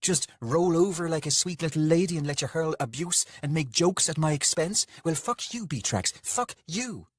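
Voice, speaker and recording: A man's voice: on the high side, animated, moderate pace, few pauses, slightly rough, dry recording, studio quality, pitched above the performer's own voice. A man voicing an angry, wounded woman in an audiobook, indignant, seething and emphatic. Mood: furious. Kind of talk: dramatised audiobook dialogue.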